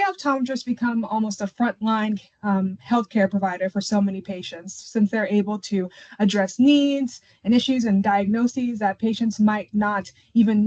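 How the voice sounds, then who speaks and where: A woman's voice: mid-range voice, darkish tone. Dull-sounding webinar recording, woman presenting. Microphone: online video call.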